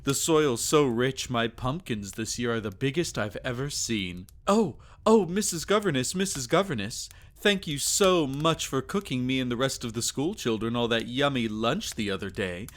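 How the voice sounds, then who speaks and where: A man's voice: mid-range voice, moderate pace, few pauses, close to an everyday voice. A man voicing grateful, eager villagers and children, gushing and exclamatory, in an ASMR roleplay audio drama. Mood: gushing, excited.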